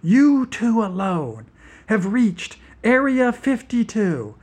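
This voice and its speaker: A man voicing an otherworldly toad creature with a hissing, staticky voice set a little high. It speaks in a cool, detached, slow drawl, like a storybook monster. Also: sing-song, breathy edge, heavily acted. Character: eerie demonic toad creature from another dimension.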